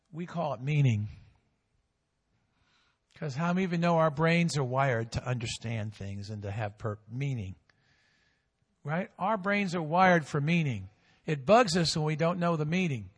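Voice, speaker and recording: A man's voice: on the high side, slightly rough. Man preaching in a reverberant hall. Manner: call-and-response preaching, swings between loud and hushed. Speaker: pastor. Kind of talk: sermon.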